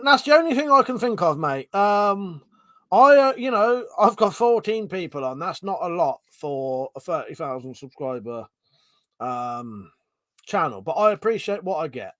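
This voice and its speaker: A man's voice: a little high, no room sound. A man in his forties chatting casually on a live stream, reading out comments, swearing and laughing as he talks. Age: 40s. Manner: swearing, laughing, animated banter. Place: home room.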